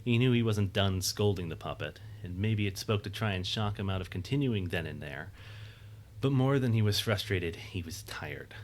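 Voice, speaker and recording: A man's voice: low voice, animated, moderate pace. A man narrating a scripted audio drama as a third-person storyteller. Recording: faint background noise.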